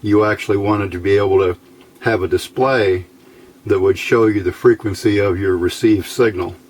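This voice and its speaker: Low-voiced man, folksy hobbyist demonstration. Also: steady tone, moderate pace, a little mellow, husky. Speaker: electronics hobbyist host. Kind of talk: casual hands-on demonstration.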